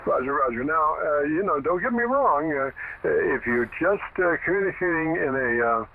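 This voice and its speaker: Through a thin, narrow radio band with clear background noise, a man gives relaxed technical advice as a ham operator. His delivery is halting and deliberate, with many pauses. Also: a little high. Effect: radio transmission. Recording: noisy, narrow-band shortwave radio reception.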